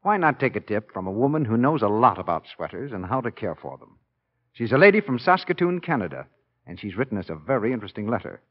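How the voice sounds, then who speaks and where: Very animated 1940s radio announcer man. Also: on the low side, fast talker. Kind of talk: polished 1940s radio advertising pitch. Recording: dull 1940s radio broadcast.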